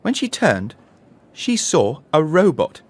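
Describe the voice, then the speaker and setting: A man tells a children's story from a script. He takes it very slowly, with a mid-pitched voice that rises and falls in a lively, expressive way. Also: a little hiss or hum.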